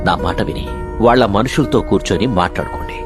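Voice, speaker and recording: An actor playing a menacing middle-aged politician in an action film, commanding and moving between reasoning and threats. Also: male voice, fairly low pitch, fast, over music, close to an everyday voice. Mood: forceful persuading. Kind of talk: Telugu action film acting. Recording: loud film score behind dialogue.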